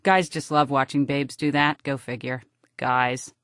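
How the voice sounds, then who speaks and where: A woman's voice: a bass voice, steady tone, unhurried, a bit bright, dry recording. American woman narrating, dry close studio sound. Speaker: audiobook narrator. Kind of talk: scripted literary narration in first person.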